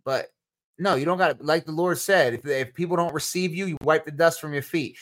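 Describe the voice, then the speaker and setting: A man's voice: fairly high pitch, brisk, a bit bright. Casual New Jersey man answering chat questions. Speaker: podcast host.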